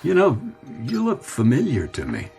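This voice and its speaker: A man's voice: mid-range voice, huge pitch swings, moderate pace, gravelly, a little mellow, slight background noise. A man playing a weary, nostalgic middle-aged businessman who muses wistfully in a radio drama. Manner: wistful, musing.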